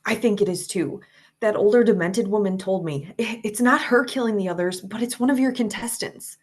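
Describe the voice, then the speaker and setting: A woman's voice: medium pitch, fairly quick, husky, close to an everyday voice. A woman playing a caring, anxious young friend on a rescue, speaking earnestly and urgently in a screenplay table read.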